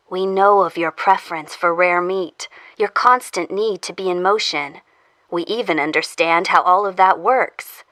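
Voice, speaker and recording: A woman playing a controlled, earnest official who interrogates someone in an audio drama, speaking formally and in measured phrases. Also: mid-range voice, sharp tone, no room sound, natural voice. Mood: businesslike. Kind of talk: audio fiction acting.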